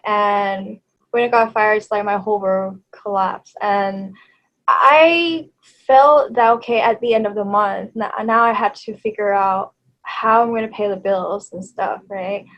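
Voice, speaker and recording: A woman in her thirties from Thailand, a native Thai speaker, talking as an interview guest in a hesitant way, with many fillers and soft laughs. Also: mid-range voice, steady tone, unhurried, muffled recording. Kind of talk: casual interview chat.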